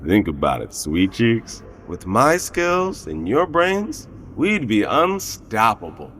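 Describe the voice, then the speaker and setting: Smug, theatrical gang leader in echoing hall. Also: male voice, fairly low pitch, huge pitch swings, slow, a bit bright, slight background noise, heavily acted. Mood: suave bargaining. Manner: suave, theatrical, taunting. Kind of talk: audio drama acting. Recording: long hall reverb, music behind.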